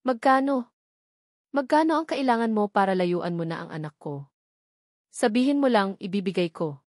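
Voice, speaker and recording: A woman's voice: medium pitch, animated, moderate pace, darkish tone, no room sound, studio quality, close to an everyday voice. Casting: haughty rich mother, a woman narrator acting cutting and controlling, for melodramatic storytelling. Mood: imperious.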